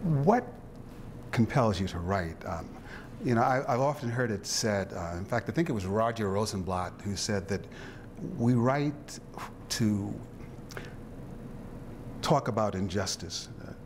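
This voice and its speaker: A man interviewing a guest, speaking in a reflective, halting way with frequent fillers and restarts. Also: on the low side, lively intonation, a little hiss or hum.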